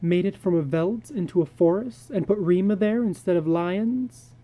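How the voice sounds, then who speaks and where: Man voicing an anxious, high-pitched wife. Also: normal speed, mellow, close to an everyday voice. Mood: worried, questioning. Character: anxious wife and mother, soft and worried.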